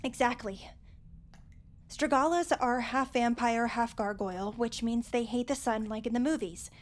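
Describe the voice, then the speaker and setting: A woman playing a nerdy, earnest high school superfan in an audio drama, explaining things at length. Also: normal speed, close to an everyday voice. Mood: tense, matter-of-fact.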